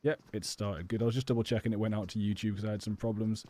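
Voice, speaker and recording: Mellow British man casting a game. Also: on the low side, little pitch movement, quick pace. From England. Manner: rambling, chatty, hesitant. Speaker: esports caster and stream host. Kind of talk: casual live game commentary. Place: home or personal studio.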